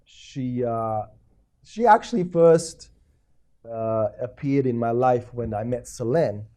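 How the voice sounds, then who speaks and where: A man's voice: mid-range voice, animated, takes it slowly, mellow. A man in his forties from Croatia, a guest telling his story in a casual interview, with many fillers and repeated starts. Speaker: festival founder, guest.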